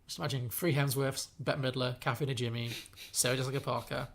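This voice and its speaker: A man's voice: medium pitch, steady tone, fast, vocal fry, fairly bright tone. A man co-hosting a podcast, chatting in a playful, rambling way and often laughing. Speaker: podcast co-host. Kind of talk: comedic casual chat.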